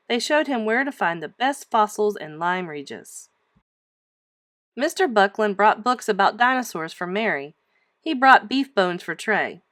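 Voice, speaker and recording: A woman reading a children's storybook aloud like a teacher, with emphatic, expressive storytelling. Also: medium pitch, no room sound, studio quality.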